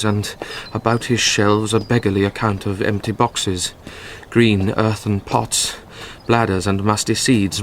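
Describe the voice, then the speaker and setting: Casting: grief-stricken young noble, British, slow deliberate Shakespearean radio acting, clearly noisy background. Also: male voice, fairly low pitch. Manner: theatrical, deliberate verse delivery.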